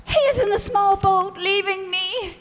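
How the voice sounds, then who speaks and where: A woman's voice: very high-pitched, a little slow. A woman playing a lovesick young maiden, pleading earnestly in old radio melodrama style. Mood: distraught. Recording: echoey hall, live stage.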